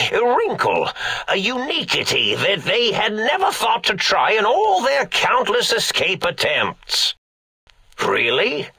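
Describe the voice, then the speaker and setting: An eccentric old mad scientist, a brain in a robot tank from a video game. The voice is raspy and fairly high for a man, and it takes its time. He dithers pompously, then swells into theatrical outbursts. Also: bright, forceful tone, no echo, heavily acted.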